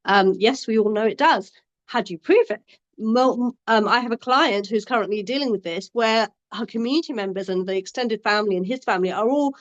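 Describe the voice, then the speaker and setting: A woman's voice: fairly high pitch, muffled recording. A woman from Bangladesh who supports abuse survivors, talking earnestly and emphatically, often restarting her phrases. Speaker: domestic abuse support worker. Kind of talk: passionate discussion.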